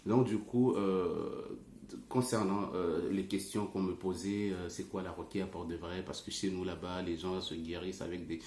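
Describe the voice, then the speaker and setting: A man's voice: low-pitched, steady tone, normal speed, a little mellow. A man who is not a native French speaker explains things informally to online followers as a religious teacher, often searching for words. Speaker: religious teacher and ruqyah healer. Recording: roomy echo, faint background noise.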